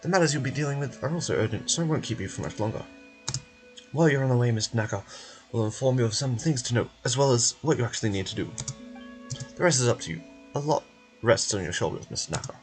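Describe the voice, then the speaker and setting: A formal, businesslike research official in a fantasy game, voiced by a man. The delivery is polite and wordy, at a middling pitch with animated inflection. Music sits softly behind. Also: fast talker, lightly acted.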